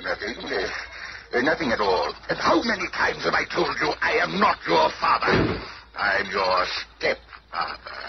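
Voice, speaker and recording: An actor playing a domineering, scheming middle-aged villain who controls puppets, in a theatrical radio drama style. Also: male voice, a little high, big pitch swings, unhurried, gravelly, full character voice. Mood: irritated, dismissive. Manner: theatrical, menacing, commanding. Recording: noisy, muffled old radio broadcast.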